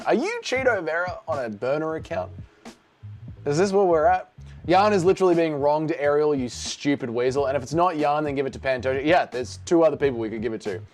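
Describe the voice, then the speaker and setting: A brash middle-aged man chats on a live stream, sarcastic and quick to swear as he reads out viewer comments. His voice sits a little high for a man, with faint music playing behind him. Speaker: streamer reacting to chat. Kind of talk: casual livestream chat.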